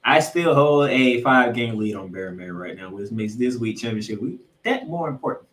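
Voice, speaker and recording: A man's voice: mid-range voice, animated, normal speed. A man hosting a sports podcast, giving football preview commentary in an announcer-like way. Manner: announcer-like, addresses listeners as ladies and gentlemen. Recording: roomy echo, distant from mic.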